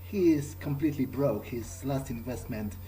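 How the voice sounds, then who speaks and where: A man roleplaying in character through a game, thinking aloud in a halting, musing way and repeating words. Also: medium pitch, poor audio. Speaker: player in an in-character game playthrough. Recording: game music behind the voice.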